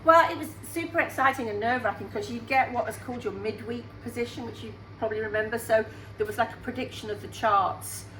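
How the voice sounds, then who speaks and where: A chatty, upbeat woman in her sixties answers interview questions about her singing career. Her voice sits at a middle pitch and carries a roomy echo. A faint hum runs behind her. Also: a bit bright. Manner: chatty and upbeat, laughs easily. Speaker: singer guest.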